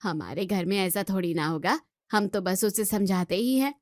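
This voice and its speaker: A woman's voice: medium pitch, normal speed, no room sound, studio quality, lightly acted. A woman playing a stubborn, traditional middle-aged mother-in-law in a family drama, dismissive and complaining. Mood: dismissive, defensive. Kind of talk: Hindi family drama voice acting.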